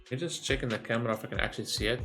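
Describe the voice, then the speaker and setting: A man's voice: medium pitch, racing, creaky, sharp tone, faint music behind. A man reacting to a game as a streamer, talking informally and thinking aloud in a rambling, casual way. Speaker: gaming streamer.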